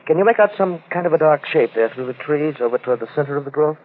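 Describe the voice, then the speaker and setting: A man playing a brooding, obsessed wealthy recluse in an old-time radio drama, speaking in a halting, grave, intense manner. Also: on the high side, expressive. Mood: obsessed, resolute. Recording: muffled vintage radio drama recording.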